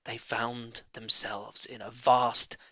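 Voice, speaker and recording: A man reading a children's story aloud with expressive narration. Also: mid-range voice, slow pace, dry recording. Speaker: audiobook reader.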